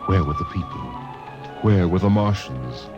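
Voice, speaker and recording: Male narrator, deep and animated, emphatic dramatic storytelling with prominent score underneath. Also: a little slow, dull audio, music in the background.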